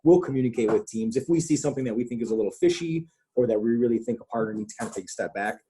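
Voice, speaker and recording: A man with a husky voice leading a coaches' conference call, explaining guidelines in an informal, conversational way. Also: medium pitch, lively intonation, racing, warm tone. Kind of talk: informal instructional presentation with questions.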